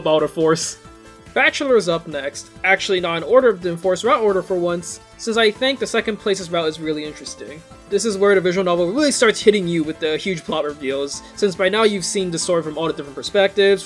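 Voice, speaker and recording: A man's voice: high-pitched, a bit bright. A young adult man reading a scripted but casual video game review, with wry, informal asides. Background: background music.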